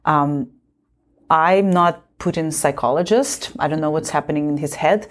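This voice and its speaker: A woman's voice: sits low, normal speed. A young woman from Kazakhstan speaking earnestly on a panel, explaining her view with light laughs. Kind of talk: panel discussion.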